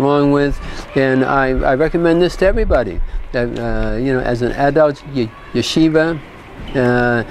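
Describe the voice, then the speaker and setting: A man with a slightly high voice gives an informal student testimonial. He halts and doubles back on words, with faint urban noise in the background. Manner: repeats words, halting. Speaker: yeshiva student giving a testimonial.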